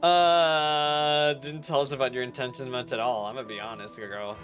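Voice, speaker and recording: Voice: mid-range voice, fairly even intonation, normal speed, fairly bright tone, faint music behind. A streamer giving casual, joking commentary on a game and talking back to its characters.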